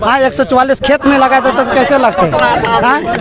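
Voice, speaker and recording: A man's voice: very high-pitched, steady tone, moderate pace, over a noisy crowd. A young man with a Jharkhand accent speaking as a student protester in a street interview, aggrieved and pleading. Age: young adult.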